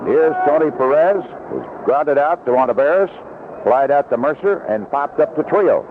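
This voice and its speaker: A man's voice: a little high, lively intonation, normal speed. A man calling live baseball play-by-play on the radio in clipped, descriptive phrases. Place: outdoor ballpark broadcast booth. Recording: noisy lo-fi 1970s AM radio broadcast.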